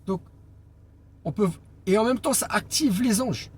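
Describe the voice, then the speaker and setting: A male evangelist gives an informal Bible teaching in a fairly high voice. He speaks deliberately, leaning on key phrases and pausing between them. There is noticeable background noise in the recording. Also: a little mellow. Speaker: evangelist preacher. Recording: clear background noise and ambience.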